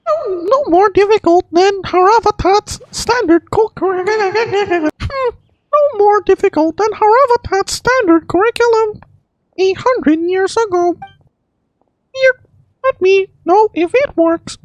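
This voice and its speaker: Man putting on a squeaky bossy little lady scholar. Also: animated, no echo, muffled recording, exaggerated voice. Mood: proud. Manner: imperious, scolding, brisk. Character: small bossy senior female scholar, proud. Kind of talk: game character voice acting.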